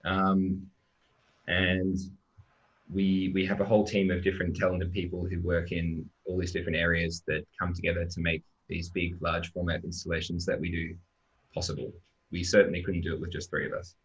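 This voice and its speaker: A dull, lo-fi video call carries a very low male voice with an Australian accent. He speaks informally as a guest, presenting like a developer introducing a team. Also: monotone, moderate pace. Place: remote online live stream.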